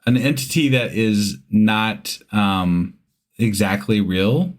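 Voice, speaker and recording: A man co-hosting a casual podcast, talking in a rambling, halting way with many restarts. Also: on the low side. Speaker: podcast co-host.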